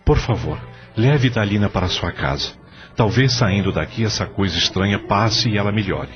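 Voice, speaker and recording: A man's voice: fairly low pitch, lively intonation, moderate pace, natural voice. A man playing an anxious, earnest husband. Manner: worried, earnest. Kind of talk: radio drama acting. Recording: dull lo-fi audio with music.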